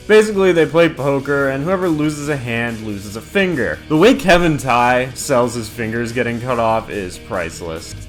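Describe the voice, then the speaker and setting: A man's voice: medium pitch, lively intonation, moderate pace, few pauses. A man hosting a scripted countdown review, talking casually and swearing freely. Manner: casual, profane. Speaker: video reviewer and host. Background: music.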